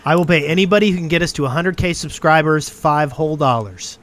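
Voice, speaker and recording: A man's voice: on the high side, quick pace, a bit bright. A man hosting a podcast, asking questions with a teasing, joking manner. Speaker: podcast host. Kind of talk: casual chat.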